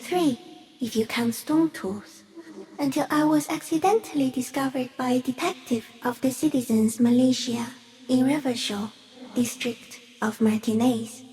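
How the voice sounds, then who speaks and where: A shy, ancient giant stick insect speaks in a high feminine voice. The creature is gentle and solemn, delivering poetic game monologue with hushed, otherworldly wonder. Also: female voice, measured pace, low-quality recording. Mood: gentle, matter-of-fact.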